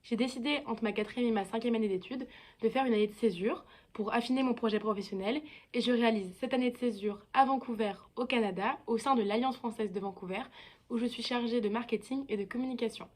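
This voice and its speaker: A woman in her early twenties from France records a thank-you message as a scholarship student. Her voice sits a little high, and she speaks earnestly and gratefully, with occasional hesitant fillers. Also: fast. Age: 20s.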